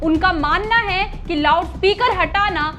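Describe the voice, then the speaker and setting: A woman's voice: extremely high pitch, measured pace, sharp tone, faint music behind. A woman news anchor reading a scripted news report.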